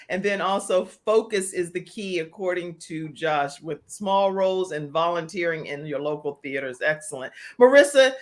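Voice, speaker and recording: Affirming woman hosting a talk show. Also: fairly low pitch. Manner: affirming, recaps guests' points. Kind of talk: conversational interviewing.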